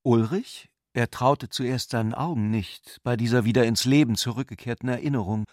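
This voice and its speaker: A man's voice: medium pitch, moderate pace, darkish tone, no echo, clean studio sound. A man narrating literary prose for a radio drama.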